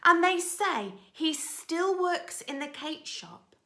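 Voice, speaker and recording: Slow, highly animated woman storyteller. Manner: dramatic, alternating loud lines with hushed asides. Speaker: teacher reading storytime for young children. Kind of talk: expressive children's story reading.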